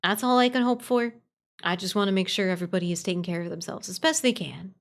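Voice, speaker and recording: Woman streamer with a creaky voice. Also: medium pitch, fast. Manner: gushing, repeats phrases for emphasis, casual swearing. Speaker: livestream host. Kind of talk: casual livestream chat, reading and answering viewer comments.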